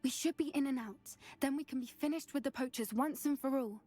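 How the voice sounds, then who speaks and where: Woman doing a polite british schoolgirl witch from a game, earnest, kinda high voice, faint music under it. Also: fairly quick, dark tone, lightly acted. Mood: earnest, confident. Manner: polite, well-spoken, earnest. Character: earnest young schoolgirl witch, animal lover, resourceful. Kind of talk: video game character dialogue. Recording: music behind the voice.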